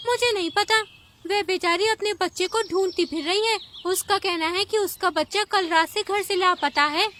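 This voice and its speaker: A woman's voice: very high-pitched, moderate pace, a bit bright, pitched above the performer's own voice. A woman voicing a fretful, urgent small bird in a children's cartoon, chattering. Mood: alarmed. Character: fretful, urgent female bird bringing bad news. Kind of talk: Hindi children's cartoon dubbing. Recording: clear background noise with ambience.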